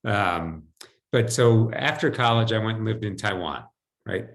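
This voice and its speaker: Low-pitched American man telling his story. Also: unhurried. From United States, New Jersey. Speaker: podcast guest, financial advisor. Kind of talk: conversational personal storytelling. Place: home, indoors. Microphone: video call computer mic.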